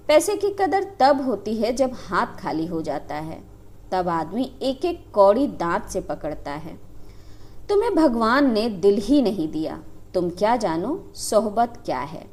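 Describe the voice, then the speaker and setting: A woman's voice: medium pitch, very animated, unhurried, darkish tone. A woman voicing a devoted young village wife who speaks with passion and pleading. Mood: scornful. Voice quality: impassioned, lamenting.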